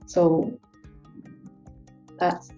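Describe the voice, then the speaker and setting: A woman's voice: drawn-out words, mellow. Woman. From Hong Kong. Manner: chatty, with many fillers and restarts. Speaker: guest tax professional. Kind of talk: casual interview answers. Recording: dull distant mic with faint music.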